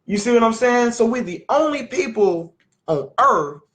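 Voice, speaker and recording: A man, teaching scripture in an animated, questioning way at a family sit-down. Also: extremely high pitch. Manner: emphatic, questioning, drawn-out teaching. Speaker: Bible teacher. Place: living room at a family sit-down.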